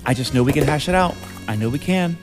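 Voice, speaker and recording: Man playing a needy, halting, apologetic boyfriend. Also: a little high, lively intonation, soft background music, close to an everyday voice. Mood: pleading. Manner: halting, rambling, self-correcting. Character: needy, hurt, apologetic human boyfriend being dumped. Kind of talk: naturalistic improvised-sounding audio drama.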